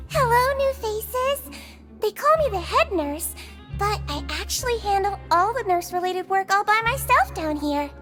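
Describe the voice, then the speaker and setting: A woman's voice: very high-pitched, lively intonation, unhurried, over music. A woman voicing a small, sweet, childlike head nurse in a video game. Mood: cheerful, welcoming.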